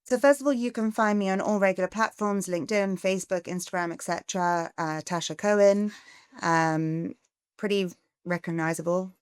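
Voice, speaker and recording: A middle-aged woman from England, a charity founder giving a podcast interview, earnest and growing animated as she asks for help. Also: fairly low pitch, moderate pace, clear, resonant tone, dry recording, clean studio sound. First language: English.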